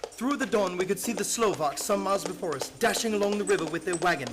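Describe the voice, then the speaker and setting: An actor playing a resolute vampire hunter in a radio play, earnestly declaiming journal entries. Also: male voice, high voice, fast talker, noisy background, lightly acted.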